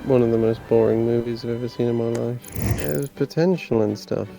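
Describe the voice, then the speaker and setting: A man's voice: medium pitch, steady tone, moderate pace, creaky, mellow. A man, a guest game reviewer, chatting casually in an understated, dry way. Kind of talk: casual chat.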